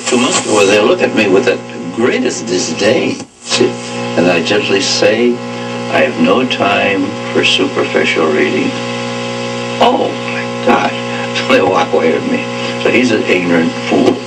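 Low-voiced radio man, loud music. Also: expressive, measured pace, poor audio. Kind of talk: spoken radio talk.

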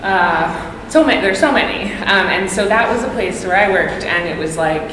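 Low voiced woman chatting as moderator, lots of likes and ums, long reverb, noisy room. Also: expressive, moderate pace, fairly bright tone. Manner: chatty, lots of "like" and "um", acts out quoted exchanges. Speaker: panel moderator. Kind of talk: casual panel talk. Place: large hall, seated at a panel table. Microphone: table microphone. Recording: echoey hall with background noise.

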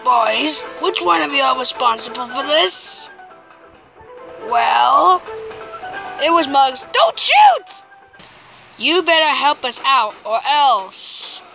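A woman's voice: high-pitched, very animated, slow pace, gravelly, pauses often, sharp tone, faint music behind, poor audio. A woman performing an amateur comic dub, acting out cartoon characters.

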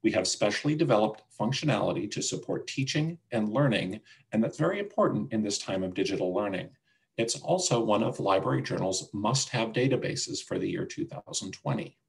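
A man with a low-pitched voice and a noticeably creaky, fry-laden edge presents a product demo in a webinar. He speaks into a video call microphone as he takes an online group through his slides. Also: lively intonation, a little fast, poor audio. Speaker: senior account manager presenting a product demo.

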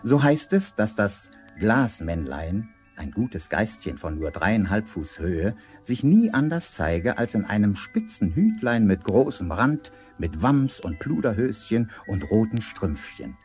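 A man narrating a fairy tale as a storyteller in a measured, scripted 1970s audio drama style. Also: lowish voice, sing-song, a little mellow. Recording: vinyl record transfer with music.

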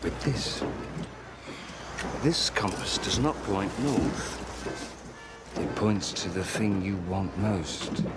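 An actor playing a sly, wheedling pirate captain with teasing, theatrical phrasing in an audio drama. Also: male voice, fairly low pitch, expressive, slow pace, long pauses, very noisy. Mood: persuasive, coaxing. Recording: loud music under the voice.

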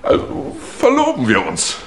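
An actor playing a flippant young English gentleman and nephew in a 1950s German radio play, breezy and exclaiming. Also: medium pitch, wildly swooping pitch, unhurried, reverberant, a crowd in the background. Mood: surprised, breezy.

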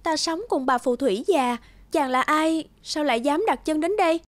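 A woman voicing a brave, gentle young princess in a fairy-tale cartoon. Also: high-pitched, moderate pace, over loud music, lightly acted. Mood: wary.

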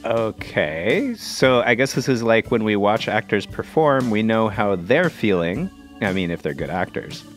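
A man's voice: lowish voice, animated, unhurried, hardly any pauses, soft background music. A man co-hosting a podcast, playful and curious, asking questions in casual banter. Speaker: podcast co-host.

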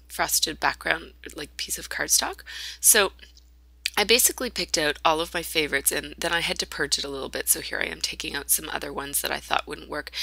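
A hoarse woman chatting through a scrapbooking project at her desk, with lots of ums, restarts and throat clearing. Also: low voice, pushed and bright, no room sound, rough recording. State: hoarse from a lingering illness. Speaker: scrapbooking video host. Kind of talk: casual craft process narration. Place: indoor craft desk. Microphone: overhead camera on a craft table.

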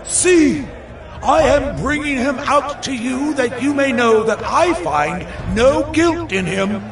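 Imperious Roman governor, swooping high. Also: male voice, expressive, a crowd in the background, full character voice. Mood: proclaiming to crowd. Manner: declamatory, drawn-out delivery. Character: Roman governor, adult man, imperious and exasperated. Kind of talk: dramatized scripture audio acting. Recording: distant mic under loud music.

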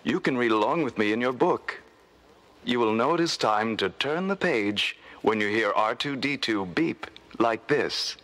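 A man's voice: mid-range voice, expressive, unhurried, fairly bright tone, dry recording. A man reading scripted narration for a children's read-along story record. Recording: vintage story record, music behind.

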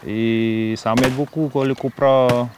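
A man's voice: mid-range voice, steady tone, slow. A man, talking easily in an interview, full of fillers. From Austral Islands, French Polynesia. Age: 30s. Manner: easygoing, filler-heavy speech. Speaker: copra farmer interviewee. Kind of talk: relaxed interview. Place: outdoors under palm trees. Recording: outdoor noise behind voice.

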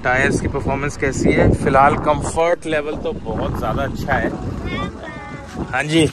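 A man's voice: medium pitch, steady tone, normal speed. A man talking casually and informally as a travel vlogger on a road trip. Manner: chatty, informal, colloquial. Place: in a car and at roadside stops. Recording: loud music under speech, vehicle noise. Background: loud music, car sounds.

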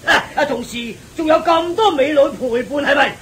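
A man's voice: extremely high pitch, moderate pace, fairly bright tone, slight background noise. An actor playing a sly, cowardly demon king posing as a god, with a wheedling, whining manner, in a radio drama. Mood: fawning, wheedling. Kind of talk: Cantonese radio drama acting.

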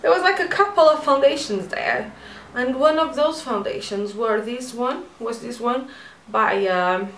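Chatty Portuguese woman with a high voice. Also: measured pace, echoey room, far from the mic, rough recording. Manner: rambling, self-mocking, animated asides. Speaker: beauty vlogger. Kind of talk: chatty get-ready-with-me vlog. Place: bedroom at home.

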